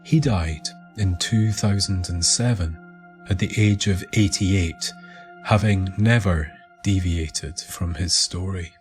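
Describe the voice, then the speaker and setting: A man narrating a scripted documentary story in a measured, deliberate manner. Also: low-pitched. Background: music.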